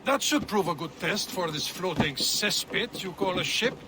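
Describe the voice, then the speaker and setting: An actor playing a haughty, scornful seafaring schemer with a French accent, animated and insulting, in a game cutscene. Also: male voice, high-pitched, normal speed, very noisy. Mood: scornful, boastful.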